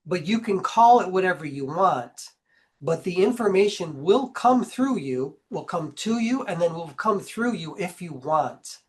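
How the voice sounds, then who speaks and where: Man with a cold, measured and emphatic. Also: sits high, animated. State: getting over a cold. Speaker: guest channeler. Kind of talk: casual interview chat.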